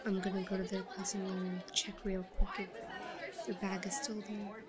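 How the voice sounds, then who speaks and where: A woman playing a shy, obsessive, secretly scheming schoolgirl in a roleplay audio drama, muttering to herself in a breathy voice that often drops to a whisper. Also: little pitch movement, over loud music, lightly acted. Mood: gleeful. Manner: hushed muttering to herself, halting. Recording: low-quality recording with faint crowd murmur.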